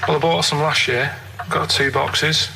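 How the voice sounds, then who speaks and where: A man's voice: fairly high pitch, fairly even intonation, measured pace, vocal fry, background noise. A man chatting casually as a radio co-host, downbeat, grumpy and matter-of-fact.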